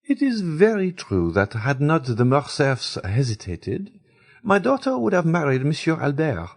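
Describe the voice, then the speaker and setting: A man doing a worldly, plain-spoken baroness in a high, lilting register that swoops up and down. The voice is breathy and slightly husky underneath, as the audiobook narrator puts her on. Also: huge pitch swings, unhurried, full character voice. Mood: chatty. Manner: lilting raised falsetto. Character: worldly middle-aged French baroness, frank. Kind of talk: audiobook character reading.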